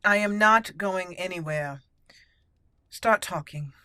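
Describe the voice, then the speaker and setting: A woman voicing a stern, suspicious elf mage in a video game roleplay, firm and measured as she interrogates. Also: lowish voice, sharp tone, no echo. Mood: stern, probing.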